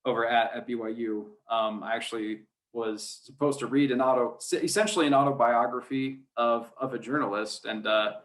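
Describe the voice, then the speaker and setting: A young man with an American accent speaks as a guest in a casual interview. His voice is mid-range in pitch, and his talk is informal and conversational. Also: steady tone, fairly quick, hardly any pauses, a bit bright. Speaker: podcast guest, sports play-by-play announcer.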